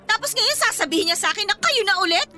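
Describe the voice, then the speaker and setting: A woman's voice: very high voice, expressive, fast talker, pushed and bright, faint music behind, heavily acted. An actress with a Filipino accent playing a jealous, confrontational young woman in an emotional radio romance drama. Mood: furious, hurt. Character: jealous, fiery young woman, betrayed girlfriend.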